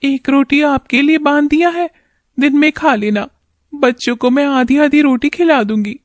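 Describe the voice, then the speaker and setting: A woman's voice: high-pitched, moderate pace, no echo, studio quality, pitched above the performer's own voice, natural voice. A woman voicing a poor, caring village wife and mother in a cartoon moral story, anxious and tender. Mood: worried. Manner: concerned, tender, plaintive. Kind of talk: animated moral story acting.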